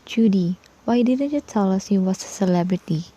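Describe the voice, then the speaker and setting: A woman's voice: mid-range voice, lively intonation, moderate pace, mellow, dry recording, natural voice. A woman playing a dry-witted student sister in an amateur radio play. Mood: impressed. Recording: dull lo-fi dry recording.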